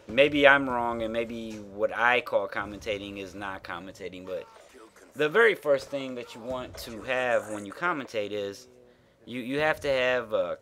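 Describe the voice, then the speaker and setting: Nasal gamer guy chatting over his match, loose and rambly, mid pitch. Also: fairly bright tone. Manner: rambling, frank, with fillers and swearing. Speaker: gaming YouTuber. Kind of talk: casual commentary over gameplay.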